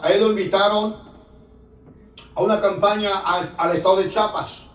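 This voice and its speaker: A man, a pastor, preaching a fervent sermon that rises to shouts, recorded in a church from off the mic. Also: high voice, distant mic. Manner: fervent preaching, rising to shouts, filler "hermano". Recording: echoey church room, voice from off-mic.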